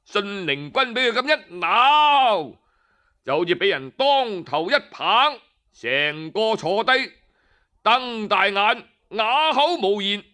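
A Cantonese man narrates in traditional storytelling style. His voice is high and forceful, and he takes his time, shaping each phrase with theatrical emphasis. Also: very animated, slow.

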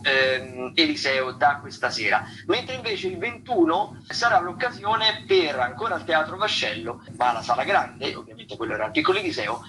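A man hosting a radio theatre show, talking unscripted through listings in a halting, wordy way, often repeating and correcting himself. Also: on the high side, pushed and bright. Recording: noisy radio broadcast sound.

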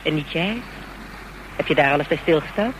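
A woman's voice: low-pitched, expressive, fairly quick. Tough sensible woman in a radio play, probing, reproachful, slightly noisy recording. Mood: stern, concerned. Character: level-headed, tough woman confronting a reckless gang leader. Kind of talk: Dutch radio drama acting.

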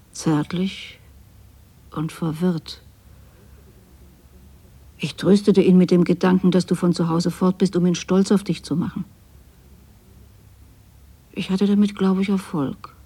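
A woman's voice: lowish voice, steady tone, a little slow, pauses often, mellow, husky. An actress playing a lonely, possessive widowed mother in a radio drama, speaking a letter to her absent son in a tender, plaintive way. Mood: wistful, sad. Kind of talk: intimate radio drama monologue, spoken as a letter.

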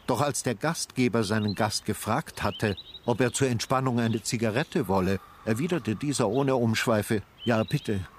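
A man's voice: medium pitch, moderate pace, a little hiss or hum. A man reading scripted literary narration as the narrator of a radio drama.

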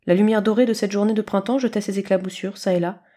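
Woman narrator reading an animal storybook, medium pitch, literary style. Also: fairly even intonation, fast talker, dark tone.

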